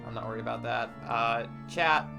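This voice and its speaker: A man's voice: mid-range voice, normal speed, vocal fry, music in the background. A young man hosting a livestream with casual banter and a wry, joking, mock-grandiose manner. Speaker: livestream host.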